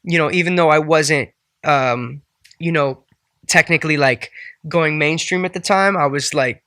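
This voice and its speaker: Man, 20s, from the Bay Area, musician guest, laid-back rambling talk, bright voice, dry sound. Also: fairly high pitch, moderate pace, dry recording. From Bay Area, California. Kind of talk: casual interview chat. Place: indoors at a house.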